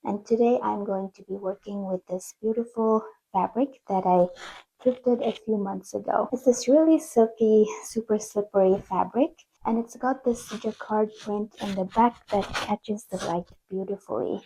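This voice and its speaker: A woman in her thirties narrating her sewing vlog in a chatty, self-deprecating way, her voice still croaky from the flu. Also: medium pitch, normal speed, dark tone, poor audio. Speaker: sewing vlogger.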